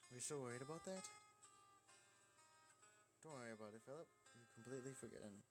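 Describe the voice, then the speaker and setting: An eager, forgiving young male student in a visual novel, voiced by a man. He is earnest and a little hesitant, in a medium-pitched voice over prominent music. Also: quick pace, lightly acted. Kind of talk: visual novel character reading.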